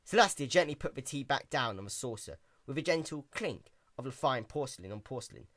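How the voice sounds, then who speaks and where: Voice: mid-range voice, animated, brisk, a bit bright, no room sound, clean studio sound. A narrator giving a dramatic, scripted story reading in a theatrical, wry manner.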